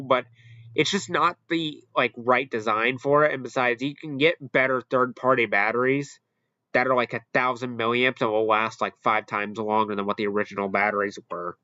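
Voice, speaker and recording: A man hosting a casual, unscripted ranking commentary, halting and rambling as he corrects himself along the way. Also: mid-range voice, steady tone, bright, forceful tone, no room sound, muffled recording. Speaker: video host ranking products.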